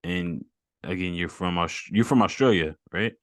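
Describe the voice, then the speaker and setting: A man's voice: deep voice, moderate pace, fairly bright tone, no echo, clean studio sound. A man hosting a casual fitness interview over a video call, upbeat and encouraging, with easy slang. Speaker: host.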